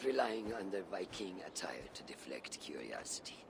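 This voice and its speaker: An actor playing a seasoned older assassin mentor in a video game, shrewd and patient, speaking in measured, formal, archaic phrases. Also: male voice, deep voice, very animated, vocal fry, noisy background, heavily acted. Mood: low, scheming. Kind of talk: dramatic video game dialogue.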